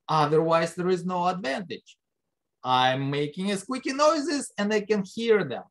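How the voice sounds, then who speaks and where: A man giving a biology lecture, talking conversationally and putting rhetorical questions to the class. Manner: lively pitch jumps for emphasis. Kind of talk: recorded classroom lecture over slides.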